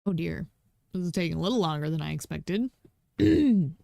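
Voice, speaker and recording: Female game streamer, croaky, casual and rambling, dry close sound. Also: fairly low pitch, very animated, moderate pace, warm tone, no echo. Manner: thinking aloud, many ums, chatty asides to herself. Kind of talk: casual gameplay commentary.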